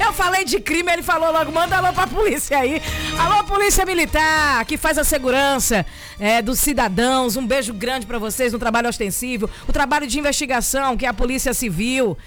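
A woman with a Bahian accent hosts a morning radio show in a studio, her voice high and bright. She talks in a lively, teasing way and sends shout-outs to listeners. Faint music plays behind her. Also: fairly quick. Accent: Bahian Brazilian Portuguese. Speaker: radio host.

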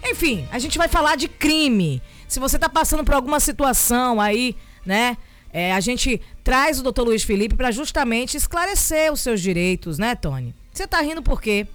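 A woman with a Bahian accent hosting a morning radio show, chatty and teasing, sending shout-outs to listeners from the studio. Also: on the high side, expressive, normal speed, dry recording, soft background music. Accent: Bahian Brazilian Portuguese. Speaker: radio host.

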